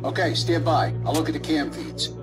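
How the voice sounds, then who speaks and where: A watchful, uneasy man at the security monitors, played by an actor, in a slightly high voice. It is audio drama acting with music clearly behind. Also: flat delivery, moderate pace, lightly acted. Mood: businesslike. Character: watchful, uneasy male camera operator. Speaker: security camera operator.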